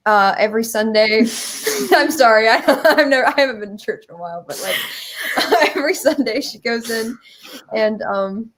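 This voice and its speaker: A woman's voice: fairly high pitch, animated, moderate pace, a bit bright. A woman telling a story in casual, informal chat as an interview guest, with a giggly manner. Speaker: guest presenting a musical.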